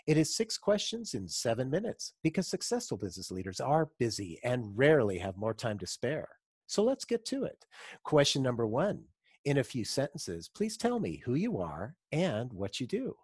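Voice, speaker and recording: A man's voice: mid-range voice, wildly swooping pitch, moderate pace, darkish tone, slightly rough, no echo, clean studio sound. A man hosting a business podcast, reading his questions in an upbeat, announcing way and lifting his voice on each question number. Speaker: podcast host. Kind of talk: scripted podcast interviewing.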